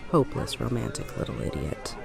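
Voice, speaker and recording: A woman playing a creaky, dry survivor. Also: a bass voice, big pitch swings, a little fast, over music, exaggerated voice. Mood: wry, resigned. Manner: dry, terse. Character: dry, hardened survivor woman, sardonic but caring. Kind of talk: audio drama acting.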